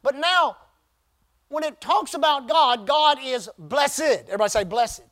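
Pastor, male, very high voice. Emphatic sermon delivery with call-and-response. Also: expressive, fairly bright tone. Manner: call-and-response preaching, emphatic drawn-out phrases.

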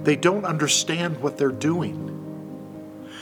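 A man's voice: a little high, slow pace, music in the background. A man voicing an anguished, suffering man who gasps and cries out, in a storybook reading. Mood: weak. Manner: gasping, crying out.

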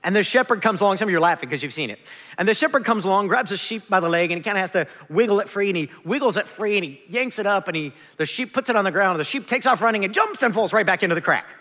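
A man in his forties preaching a conversational sermon, animated and self-deprecating. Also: high voice, very fast. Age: 40s. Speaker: pastor. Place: large church hall. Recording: long hall reverb.

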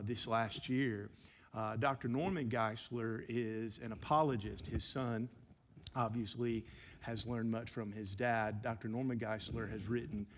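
A man who is a pastor, giving an evening church teaching with a relaxed, self-deprecating manner, many fillers. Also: mid-range voice, a little hiss or hum. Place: church sanctuary. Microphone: handheld microphone.

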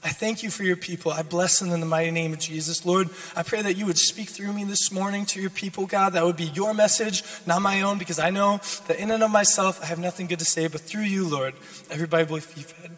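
A hoarse young man preaching a sermon as a guest speaker, repeating his key lines and building in intensity. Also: high voice, steady tone, fast talker, a bit bright. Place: church sanctuary. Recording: long church reverb.

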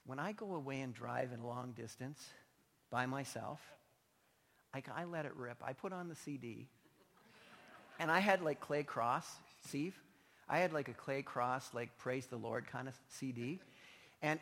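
Male pastor, sharp forceful tone, slightly strained voice, informal emphatic preaching, long hall reverb. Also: mid-range voice, a little slow, vocal fry. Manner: emphatic, informal and conversational, with repeated phrases. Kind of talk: sermon. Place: large indoor church hall.

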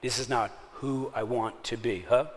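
A man's voice: medium pitch, steady tone, moderate pace, in a large hall. A man, preaching a sermon in a chatty way and often checking in with his listeners. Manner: conversational, checks in with the audience often. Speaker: pastor.

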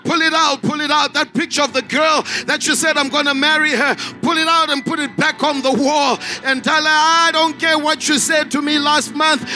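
A man from Zimbabwe, a pastor preaching a fervent sermon in a church, building up to shouts. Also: very high-pitched.

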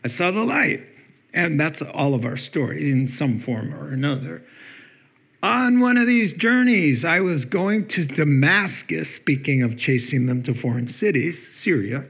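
Husky-voiced man preaching a lively sermon. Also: on the high side, unhurried, muffled recording. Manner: animated, jokey, conversational. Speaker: pastor.

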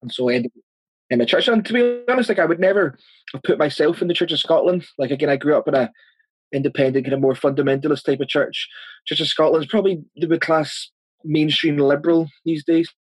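A man's voice: a little high, fast, slightly rough, no echo. A man in his thirties with a Northern Irish accent, answering interview questions as a church planter, earnest and chatty, with frequent restarts. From Northern Ireland.

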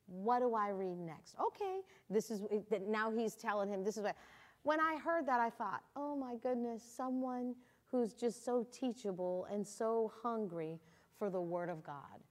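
A woman hosting a casual church conversation, encouraging and quick to laugh, praising her guest and quoting scripture. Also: on the high side. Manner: warm, laughs often, encouraging. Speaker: church host. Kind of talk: casual church testimony chat.

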